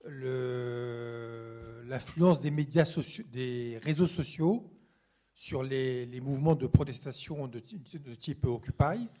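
A man in the audience puts a question to an academic panel in halting, tentative phrases. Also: medium pitch, mellow. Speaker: audience member asking a question. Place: lecture hall at a public panel.

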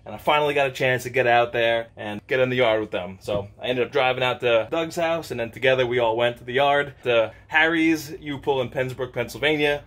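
An upbeat man in his thirties talks excitedly about his finds, chatty and quick to laugh. He speaks in a home garage workshop into a camera held at arm's length. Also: medium pitch. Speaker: channel host. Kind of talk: casual car-parts vlog. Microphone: handheld camera at arm's length.

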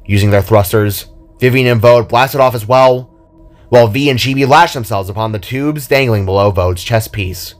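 A man's voice: medium pitch, moderate pace, faint music behind. A man reading scripted fantasy fiction as narrator, in a dramatic storytelling style. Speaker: author and narrator.

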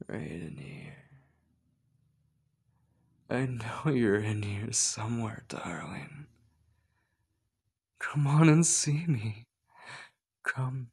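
A man's voice: fairly low pitch, gravelly, breathy edge, exaggerated voice. A man playing a possessive, obsessive stalker in an ASMR roleplay, menacing and coaxing, often dropping to a whisper. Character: possessive, obsessive male stalker hunting his beloved.

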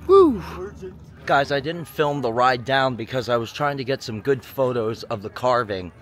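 A man's voice: mid-range voice, very animated, normal speed, fairly bright tone, soft background music. A man talking casually to his viewers while filming outdoors on a hot mountaintop, with a folksy, chatty manner. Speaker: vlogger. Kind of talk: casual travel vlog to camera. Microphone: handheld phone or camera mic.

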